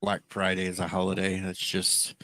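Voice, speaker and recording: A man hosting a casual talk show, cracking jokes in a deadpan way. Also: sits low, moderate pace, crowd noise. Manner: deadpan joker. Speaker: show host. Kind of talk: casual chat.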